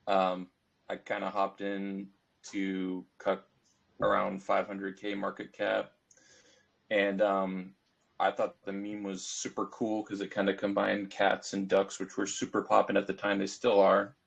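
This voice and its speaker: A man's voice: deep voice, monotone, unhurried, gravelly. An American man casually introducing himself as a game developer and IT worker. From United States. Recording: dull, lo-fi call audio.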